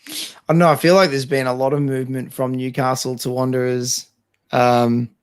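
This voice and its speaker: An Australian man on a football podcast, his voice a bit raspy and hoarse after a long night, talking in a relaxed, agreeable way. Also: mid-range voice, normal speed, dry recording, clean studio sound. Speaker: podcast co-host. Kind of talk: casual sports chat.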